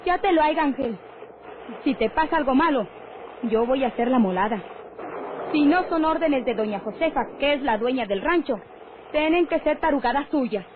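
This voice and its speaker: A high-voiced Mexican ranch wife in an old radio serial. She is suspicious and worried, always questioning and scolding. The sound is narrow and tinny, with strong noise. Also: animated, moderate pace. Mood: reproachful. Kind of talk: old radio drama acting.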